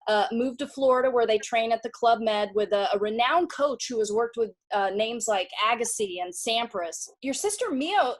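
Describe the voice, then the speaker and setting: A woman hosting a casual interview, asking long, enthusiastic questions with a few "uh" fillers. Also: on the high side. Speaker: interviewer, video director.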